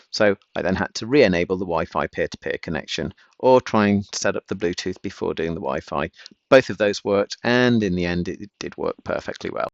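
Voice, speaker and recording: Low-voiced man in a dull, roomy recording. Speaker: vlogger, amateur coder. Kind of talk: casual explanatory vlog narration.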